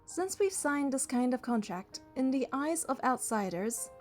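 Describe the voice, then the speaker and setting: A woman's voice: high voice, lively intonation, measured pace. A woman voicing a young romance game heroine, narrating and speaking in a composed, scripted way. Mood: composed, tender. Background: music.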